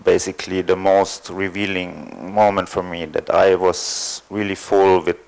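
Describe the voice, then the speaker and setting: A man from East Germany, speaking English, telling his story as a panel guest with many pauses and fillers. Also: low-pitched, fairly even intonation, gravelly, darkish tone. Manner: hesitant, thoughtful, many fillers. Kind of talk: panel discussion, personal account.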